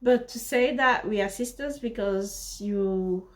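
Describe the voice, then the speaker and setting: A young woman from Africa, a vlogger and fashion designer, telling a story casually to camera in an animated, chatty way full of fillers. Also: medium pitch, slow pace.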